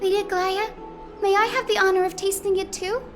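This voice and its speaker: A woman playing a sweet, bubbly young healer priestess in a video game, cheerful, gushing and excitable. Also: extremely high pitch, a little fast, over music. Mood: excited, friendly.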